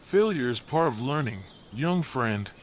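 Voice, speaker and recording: A man voicing a wise, kindly old elephant in a children's storybook, speaking softly and encouragingly. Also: medium pitch, animated, a little slow, lightly acted. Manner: soft, gentle, encouraging.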